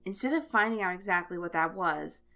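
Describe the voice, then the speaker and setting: A woman reads a scripted story as an expressive narrator. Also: on the low side, brisk. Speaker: fan fiction narrator. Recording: music behind the voice. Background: instrumental music.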